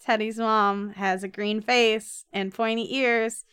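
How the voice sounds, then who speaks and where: A woman's voice: on the high side, steady tone, slow pace, fairly bright tone, smooth, no echo, studio quality. A woman co-hosting a podcast, casually recapping a comic story in a playful, conversational way. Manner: playful dramatic reading. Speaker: podcast co-host.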